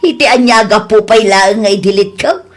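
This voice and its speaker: A woman's voice: mid-range voice, normal speed, faint music behind, natural voice. A woman with an Ilocano accent playing an indignant, complaining village woman in a comedy radio drama. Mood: indignant, defensive. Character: complaining, indignant village women in a comic squabble.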